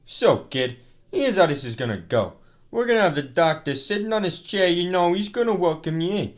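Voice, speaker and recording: A man's voice: high-pitched, animated, moderate pace. A man playing a blunt, casual TV show staffer in a radio drama, informal and matter-of-fact. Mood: briskly instructing. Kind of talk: high school radio drama acting.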